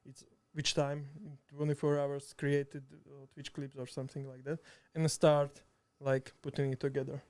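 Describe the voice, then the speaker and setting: A Slovak man chatting in halting, hesitant English, full of restarts and laughter, sitting a bit far from the mic. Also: mid-range voice, level tone, a little mellow. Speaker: streamer and video editor guest. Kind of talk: casual chat. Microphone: too far from the mic.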